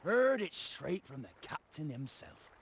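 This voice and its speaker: Creaky, excitable little pirate creature. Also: male voice, on the high side, a little slow, a little hiss or hum. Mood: excited gossiping. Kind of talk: video game voice acting. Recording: game music behind the voice.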